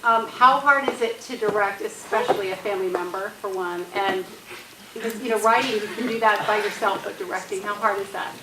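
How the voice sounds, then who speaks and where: A woman's voice: medium pitch, fairly quick. A woman in an audience asking questions informally. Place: in the audience, indoors. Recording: echoey, distant, noisy room recording.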